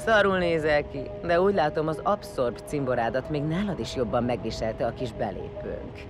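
An actor in an audio drama playing a vengeful, bloodthirsty warrior leader who sneers, swears and barks orders. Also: male voice, on the high side, expressive, music in the background, lightly acted. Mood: mocking. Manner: sneering, foul-mouthed, barking orders.

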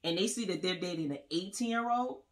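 A woman's voice: on the low side, fairly quick. A woman in her forties, co-hosting a podcast in casual chat, rambling through stories and laughing often, recorded in a small living room. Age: 40s. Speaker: podcast co-host.

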